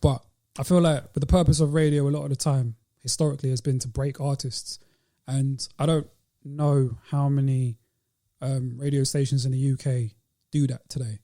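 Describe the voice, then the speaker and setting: A man's voice: mid-range voice, flat delivery, moderate pace, dark tone. A British man talking casually as a podcast host in a group discussion.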